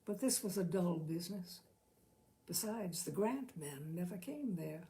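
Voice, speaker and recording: A woman narrating literary fiction expressively, with dramatic, deliberate phrasing and lingering pauses. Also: on the low side, animated, mellow. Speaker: narrator, story reader.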